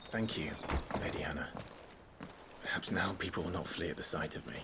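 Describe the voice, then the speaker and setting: A very deep, brooding young lord. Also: male voice, brisk, heavy background noise. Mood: grateful, subdued. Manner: formal, restrained, hushed. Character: young lord, brooding, courteous, reserved, firstborn son. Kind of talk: video game cutscene acting.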